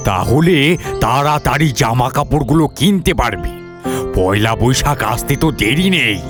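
A man voicing a kindly, protective old grandfather in an animated moral story, speaking haltingly and repeating words. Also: mid-range voice, music in the background, lightly acted. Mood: warm, encouraging. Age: older.

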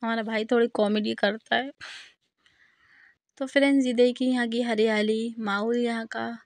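A woman's voice: fairly high pitch, flat delivery, moderate pace, darkish tone, no echo. A woman, narrating a family vlog in a casual way. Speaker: vlogger.

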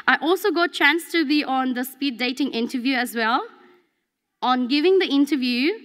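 Young woman, non-native English speaker, earnest prepared speech, lectern mic, sharp bright tone, long hall reverb. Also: high-pitched. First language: not English. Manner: earnest, reads from notes with small restarts. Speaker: graduate student speaker. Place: large convention hall, at a lectern.

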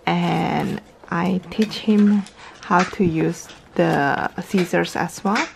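A woman's voice: on the low side, extremely slow, creaky, a little hiss or hum. A young mother chatting through a home vlog in her living room, explaining toys step by step in a casual, talkative way, recorded on a handheld camera. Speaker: parenting vlogger, mother. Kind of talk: casual how-to vlog narration. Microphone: handheld camera filming from above, speaker off screen.

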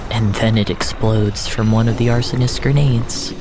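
A man acting as the solemn first-person narrator of surreal fiction, with a deliberate, literary delivery. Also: on the low side, steady tone, background noise, lightly acted. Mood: solemn, intense.

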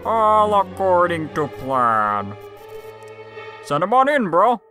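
Lady doing villain handyman bro for a cartoon, low voice, slow dramatic delivery. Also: big pitch swings, music in the background. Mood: smug. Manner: dramatic storytelling dotted with bro slang. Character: theatrical male villain handyman with surfer-bro slang. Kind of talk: fan cartoon voice acting.

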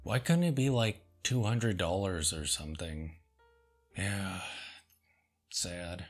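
A man hosting a comedy show, reading product reviews aloud and answering them with deadpan sarcasm. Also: low voice, breathy edge, faint music behind. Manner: dry, sarcastic, slow and deliberate. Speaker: host.

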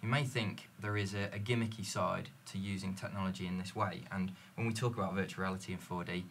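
A man's voice: sits low, steady tone, fast talker, a bit bright, slight background noise. A man in a panel discussion, explaining fluently and telling stories from his business, recorded in a dim studio lounge. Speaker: company representative.

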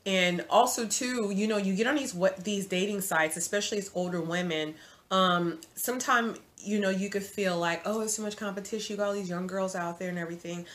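A woman in her forties giving casual dating advice to viewers from her home, chatty and animated, calling the viewer sis. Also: medium pitch, brisk. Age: 40s. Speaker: vlogger. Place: home room, indoors.

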